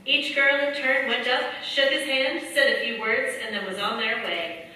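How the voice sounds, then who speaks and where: A woman's voice: a little high, fairly even intonation, normal speed, pushed and bright. An actress playing an earnest wartime heroine who narrates her own adventure in a dramatic, scripted radio play style. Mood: composed, recounting. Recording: echoey stage, distant mic, faint noise.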